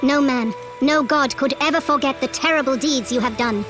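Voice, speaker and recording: Lady doing a regal goddess in a video game, high voice, solemn and formal, music behind her. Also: lightly acted. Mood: solemn, unyielding. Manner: stately, measured, formal. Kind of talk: dramatic video game acting.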